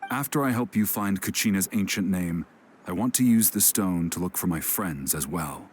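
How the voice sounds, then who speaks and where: A man's voice: low voice, lively intonation, moderate pace, slight background noise. A man playing a guarded, brooding veteran warrior and scout in a video game, reserved and prone to muttering. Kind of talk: English video game voice acting. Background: music.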